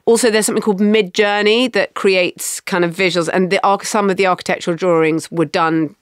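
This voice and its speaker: A woman's voice: mid-range voice, steady tone, smooth, no echo. Chatty woman podcaster, dry mic. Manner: chatty, gossipy, quick asides and self-corrections. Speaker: podcast co-host. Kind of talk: casual chat.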